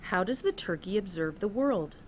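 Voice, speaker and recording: A woman's voice: medium pitch, expressive, moderate pace, a little mellow, a little hiss or hum. A woman reading scripted nature narration for a children's educational video.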